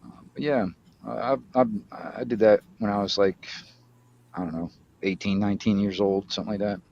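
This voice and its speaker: A man's voice: on the low side, steady tone, moderate pace, creaky, darkish tone, muffled recording, slight background noise. A middle-aged American man from Florida chatting casually, telling things in a rambling, hesitant way with slangy wording. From Florida, United States. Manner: rambling, hesitant storytelling with slangy, mildly profane speech. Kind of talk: casual chat.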